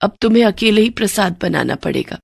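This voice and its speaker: A woman's voice: medium pitch, animated, a little fast, gravelly, a little mellow, husky, dry recording, clean studio sound, exaggerated voice. A woman playing a kindly, well-off mistress who talks politely to her servant in a cartoon story. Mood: unwell, apologetic. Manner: polite, instructing.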